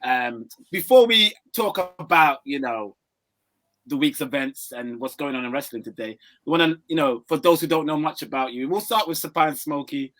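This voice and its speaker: Male podcast host on a video call; chatty, repetitive fillers, slightly high voice, dry sound. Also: lively intonation, moderate pace, a bit bright, no room sound. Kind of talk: casual podcast interviewing.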